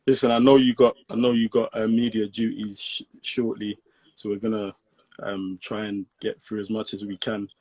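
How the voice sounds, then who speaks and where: A man from England hosting a casual live-stream interview for a youth football club, relaxed and friendly with his guest. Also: on the low side, normal speed. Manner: easygoing, calls guest "bro" and "man". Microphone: video call.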